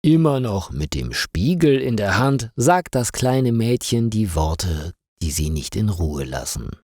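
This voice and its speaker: Slow, expressive male storyteller. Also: fairly low pitch, dry recording, clean studio sound. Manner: simple, carefully articulated storytelling. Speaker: story narrator for German learners. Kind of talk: scripted story narration for language learners.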